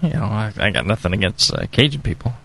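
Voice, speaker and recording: A man's voice: fairly low pitch, wildly swooping pitch, fast talker, creaky, pushed and bright, a little hiss or hum. A tired Californian man co-hosting a homebrewing radio show, rambling with many fillers and restarts. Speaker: podcast co-host. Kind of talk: casual radio chat.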